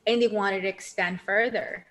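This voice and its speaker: A woman chatting as a guest on a casual show, her voice at a middle pitch. She talks animatedly and laughs often, her pitch swinging up when she gets excited. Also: moderate pace. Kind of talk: casual interview chat.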